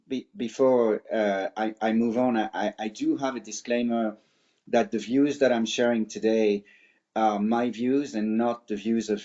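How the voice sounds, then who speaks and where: A man's voice: on the low side, mellow, highs cut off. A middle-aged man from France with a French accent, giving a webinar presentation in a hesitant way, with many fillers and restarts.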